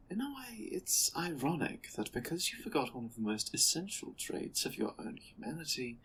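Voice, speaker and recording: An actor plays a lofty sci-fi superior, wry and condescending, with a theatrical, highly varied delivery. The speech is measured and knowing, as if humoring a subordinate in an audio drama, over clearly audible background noise. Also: a bit bright, poor audio. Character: The Eminence, amused, condescending superior of a sci-fi order. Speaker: guest voice actor. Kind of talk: audio roleplay acting.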